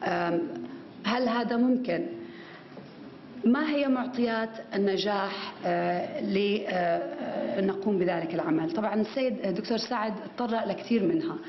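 A woman's voice: mid-range voice, moderate pace, reverberant, muffled recording. A woman gives a conference talk from a podium microphone. She gestures as she speaks and uses many fillers and restarts. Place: conference hall with stage.

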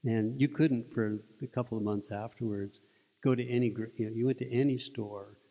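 A soft-spoken middle-aged man, a Buddhist monk, giving a relaxed, rambling talk into a stand mic in an indoor meditation room. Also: mid-range voice, normal speed, in a large hall. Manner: relaxed storytelling with frequent restarts. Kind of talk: informal dharma talk. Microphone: stand mic close in front.

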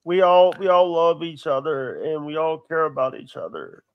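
A man's voice: a little high, moderate pace, smooth, no room sound, lo-fi sound. A man who stutters, hosting a casual podcast chat. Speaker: podcast host.

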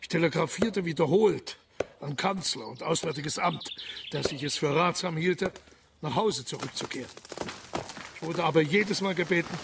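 An actor playing a pious, self-important German emperor in a solemn, declamatory manner for a radio drama. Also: male voice, on the high side, normal speed, creaky, recorded from a distance, background noise. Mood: sober, reporting.

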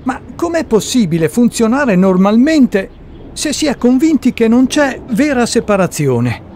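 A man's voice: extremely high pitch, very animated, measured pace, darkish tone, slight background noise, voice pushed up, full character voice. A man voicing a curious, earnest male interviewer in a radio play, courteous and puzzled in his questioning, with music under the voice. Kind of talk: radio drama dialogue.

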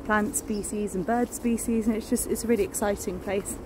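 A woman's voice: mid-range voice, fairly even intonation, brisk. Chatty woman filming a nature walk. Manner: enthusiastic, chatty, many fillers. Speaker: nature vlogger. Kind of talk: casual walking vlog. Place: outdoors in woodland and on a beach. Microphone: phone held at arm's length. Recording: outdoor phone recording with noise. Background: distant planes and outdoor ambience.

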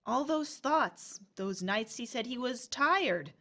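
An actress playing a cool young agent of the afterlife, patronizing and mock-soothing, in an audio horror drama. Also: female voice, a little high, expressive, takes it slowly, a bit bright, exaggerated voice. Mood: taunting.

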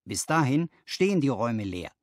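Male reader as young storybook clerk. Cheerful, brisk, emphatic, very animated pitch. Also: no echo, clean studio sound, natural voice. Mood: eager. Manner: lively, helpful, emphatic.

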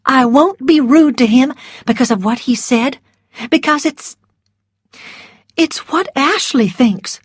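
A woman reads as a gentle young woman, raised high and earnest, firm beneath her softness. The read is slow and measured, dry and close. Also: slightly rough, dry recording, full character voice. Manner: earnest, trembling resolve.

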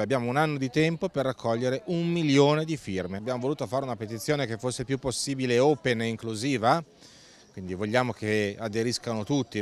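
A middle-aged campaign coordinator giving an earnest interview at a fair stand, speaking into a close handheld mic with a few hesitant fillers. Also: male voice, mid-range voice. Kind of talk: on-camera interview statement. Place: indoor stand at a busy food fair. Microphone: handheld mic close below the face.

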